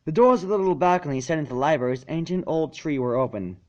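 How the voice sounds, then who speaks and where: A man reading a story aloud as narrator, in an expressive storybook style. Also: fairly high pitch, fast, muffled recording. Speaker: fan fiction narrator.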